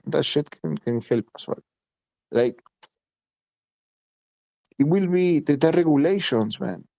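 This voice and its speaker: A man's voice: mid-range voice, creaky, a little mellow, dry recording. Italian guy in a voice chat, blunt, swears a lot, keeps repeating himself, dry close sound. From Italy. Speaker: voice chat participant. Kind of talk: casual crypto chat.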